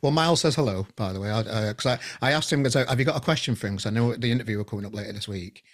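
A man in his forties chats easily as a podcast host, often stumbling and restarting his sentences. His voice sits at an ordinary middle pitch. He speaks into a boom mic on a headset from a room at home, over a video call. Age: 40s. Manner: chatty, halting, many restarts. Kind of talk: casual interview chat.

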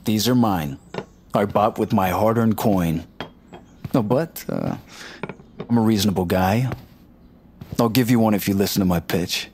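An actor playing an eager, cocky, talkative tech strategist in a naturalistic audio drama. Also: male voice, on the low side, measured pace, pauses often, darkish tone, slight background noise. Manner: talkative, boastful, informal. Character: eager, cocky, nerdy male tech strategist, chatty roommate.

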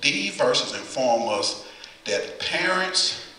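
Middle-aged male preacher, bright-toned, folksy, repeats himself for emphasis, caught by a distant camera in a ringing church. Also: mid-range voice, sing-song, takes it slowly. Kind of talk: conversational sermon with call and response. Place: church sanctuary at the pulpit. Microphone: far camera, pulpit mic. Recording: echoey church from far camera.

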